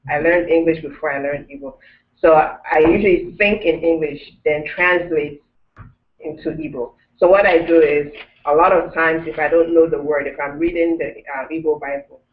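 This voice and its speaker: A man's voice: fairly high pitch, steady tone, moderate pace. A man teaching a language class, explaining step by step with many restarts. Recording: echoey room, tinny phone-like sound, mic far off.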